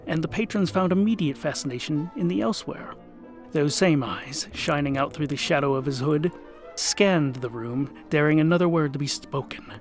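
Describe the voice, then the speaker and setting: A man narrating a scripted comic fantasy tale in a theatrical, mock-epic manner with wry asides. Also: on the high side. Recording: voice over music. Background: music.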